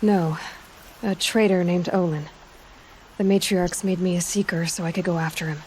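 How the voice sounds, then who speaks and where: A woman playing a determined young huntress in a video game, talking in a direct, dry, quick-witted way. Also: fairly low pitch, steady tone, darkish tone, a little hiss or hum, close to an everyday voice, rough recording. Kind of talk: video game cutscene acting.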